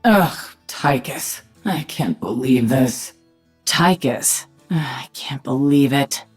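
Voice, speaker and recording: A woman's voice: a bass voice, lively intonation, slow pace, darkish tone, soft background music, full character voice. A woman voicing a cocky, sardonic assassin and alien queen in a video game, dry and taunting. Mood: scornful.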